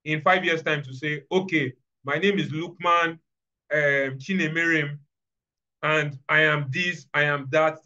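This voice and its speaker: A man's voice: fairly high pitch, sharp tone. Earnest man on a webinar call. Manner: earnest, repeats himself, many restarts. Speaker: webinar speaker. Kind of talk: webinar talk on HR careers. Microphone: webcam video call. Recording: muffled video call audio.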